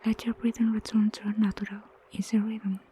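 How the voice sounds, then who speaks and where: A woman guiding a meditation in a soft, breathy near-whisper, gentle and soothing, unhurried with long pauses. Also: mid-range voice, flat delivery. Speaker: meditation guide. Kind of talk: guided meditation narration. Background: prominent music.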